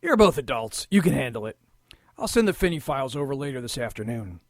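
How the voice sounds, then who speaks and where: An actor playing a gruff, exasperated police lieutenant in a noir audio drama, sarcastic and grumbling. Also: male voice, medium pitch, sing-song, fast.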